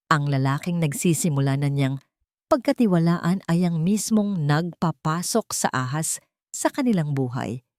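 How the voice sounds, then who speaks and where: A woman's voice: low-pitched, a little mellow, no echo, studio quality. A woman with a Filipino accent reading a dramatic romance story as a scripted narration.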